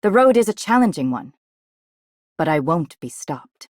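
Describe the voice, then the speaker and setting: A woman's voice: deep voice, very animated, moderate pace, no echo, clean studio sound. A woman voicing a composed, principled game character, formal and resolute. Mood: solemn, resolute. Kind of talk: scripted game character voice line.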